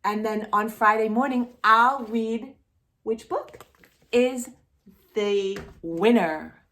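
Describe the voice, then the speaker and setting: A woman with a fairly high voice hosts storytime for small children. She speaks very slowly in an encouraging sing-song, posing simple questions and answering them as if the children had replied. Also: a bit bright. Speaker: host of a children's storytime channel. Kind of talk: talking directly to young children.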